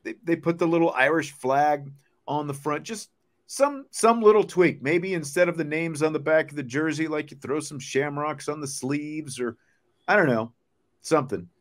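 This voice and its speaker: A man's voice: a little high, moderate pace. A middle-aged man hosting a sports show in a casual, conversational style. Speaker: sports talk show host.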